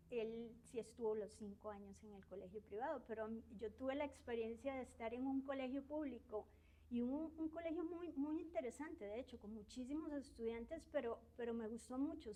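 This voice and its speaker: Shy, warm-voiced middle-aged woman. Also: on the high side, poor audio. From Argentina and Uruguay. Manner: shy, soft-spoken, tag questions and hesitations. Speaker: interviewee, university academic. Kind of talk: reflective interview.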